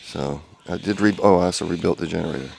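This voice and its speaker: Male hobby car restorer, deep gravelly voice, flat monotone delivery, quiet and halting. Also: a little hiss or hum. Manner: quiet monotone talk with many ums and restarts. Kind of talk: casual workshop update video. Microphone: small clip-on wireless mic.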